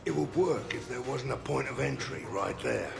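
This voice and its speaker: A man playing a gruff, suspicious mercenary in a video game, blunt and sardonic with a gravelly voice. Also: mid-range voice, moderate pace, over music. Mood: conspiratorial, approving. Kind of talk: video game character acting.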